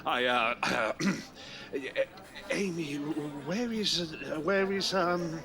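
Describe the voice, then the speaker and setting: An actor playing a troubled, broken elderly father in an audio drama, halting, stammering and repeating his words. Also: fairly high pitch, a crowd in the background. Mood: confused, fearful. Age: older. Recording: music behind the voice.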